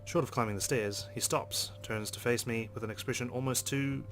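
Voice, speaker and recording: A man's voice: mid-range voice, fairly even intonation, brisk, a bit bright, a little hiss or hum. A young man hosting a let's play, reading game narration aloud with casual reactions.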